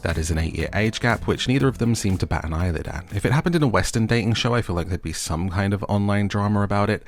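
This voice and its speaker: Low-voiced man giving a wry recap. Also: fast, soft background music. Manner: playful, tongue-in-cheek. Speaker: host of a recap and review channel. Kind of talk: scripted recap narration with wry jokey asides.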